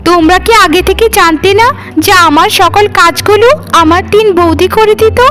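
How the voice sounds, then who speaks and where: A woman's voice: very high-pitched, moderate pace, a bit bright, faint music behind. A woman voicing a haughty, bossy, pampered young bride in a cartoon, with an imperious, complaining way of talking. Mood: indignant. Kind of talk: animated cartoon dialogue.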